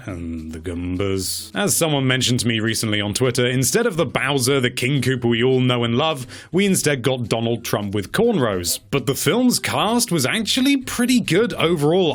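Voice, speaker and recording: A man presenting a countdown in a casual, opinionated commentary style, wry and given to mock-dramatic emphasis and drawn-out words. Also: medium pitch. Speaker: presenter of a video game movie countdown.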